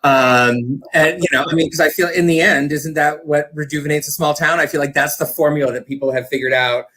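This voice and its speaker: A man's voice: on the high side, fairly even intonation, fairly quick, sharp tone, low-quality recording. A man talking informally as an interview guest, enthusiastic and rambling as he explains his work. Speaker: interview guest, community theater director. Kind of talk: casual conversational interview.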